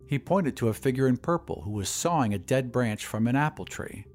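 Male narrator for fiction podcast: expressive scripted read, wide pitch movement, medium register, music bed. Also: a little fast.

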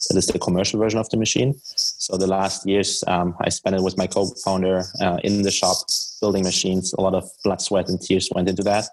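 German accented guy, deep raspy-ish voice, chatting as a podcast guest about himself. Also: flat delivery, a little fast, a little mellow, poor audio. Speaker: podcast guest, startup founder. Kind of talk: conversational interview answers.

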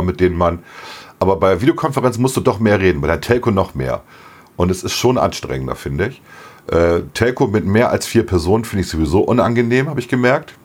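Brief: middle-aged man, very low voice, a bit hoarse, easygoing podcast chatter with frequent laughs. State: slightly hoarse. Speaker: podcast co-host. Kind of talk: casual chat.

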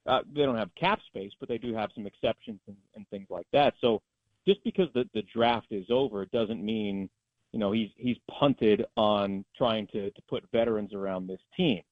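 A man's voice: fairly low pitch, normal speed, dry recording. A man, a sports announcer appearing as a guest, giving emphatic, opinionated analysis in a conversational way over a dull, narrow-band line.

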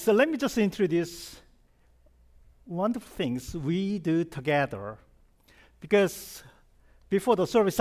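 A middle-aged man from South Korea with a Korean accent, preaching a chatty, humorous sermon and asking the congregation questions. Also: fairly high pitch. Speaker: pastor. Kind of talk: conversational sermon.

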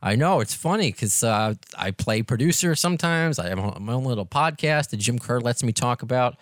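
A middle-aged podcast host with a New York accent talks in a casual, self-deprecating way. His pitch swings widely as he chats. The sound is dry and close, with no room around it. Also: normal speed, no room sound. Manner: chatty and self-deprecating, animated intonation. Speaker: podcast host and radio presenter. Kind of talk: casual interview chat.

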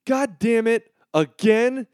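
A man's voice: extremely high pitch, expressive, takes it slowly, darkish tone, slight background noise, heavily acted. A man playing a pampered, crude teenage rich boy in a comedic audio drama, with a swaggering, melodramatic delivery. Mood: flustered, indignant.

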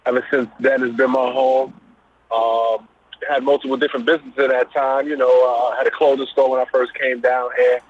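A man's voice: mid-range voice, level tone, fairly quick, a little hiss or hum. A middle-aged man from Brooklyn with a New York accent, a guest talking easily about his background with loose fillers. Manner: easygoing, loose with many fillers. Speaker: guest, business owner. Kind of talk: casual interview. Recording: phone-like call line.

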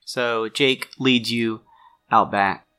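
A man's voice: mid-range voice, steady tone, slow pace, bright, forceful tone, clear, resonant tone. A man working as game master, narrating a tabletop role-playing scene in an improvised way.

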